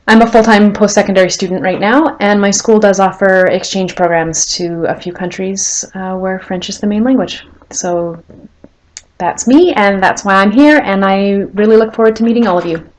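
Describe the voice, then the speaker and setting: A woman in her thirties with a Canadian accent, earnestly introducing herself as a language learner in a personal video and pausing now and then to rephrase. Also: medium pitch, poor audio. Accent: Canadian English. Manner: earnest, thoughtful, pauses to rephrase.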